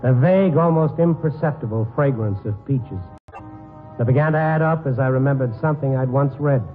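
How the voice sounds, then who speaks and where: An actor playing a grim, wry newspaper reporter who narrates a noir radio drama in a brooding, clipped, dramatic way. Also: male voice, medium pitch, fairly quick, faint music behind, close to an everyday voice. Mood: grim, urgent. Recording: tinny 1950s radio broadcast recording.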